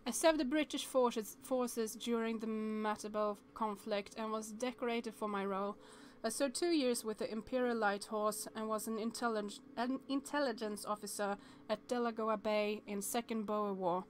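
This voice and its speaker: A higher-pitched woman reads a visual novel aloud on stream at a desk mic. She trips over words now and then and breaks off into chatty asides. Faint noise sits behind her voice. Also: steady tone, moderate pace, hardly any pauses.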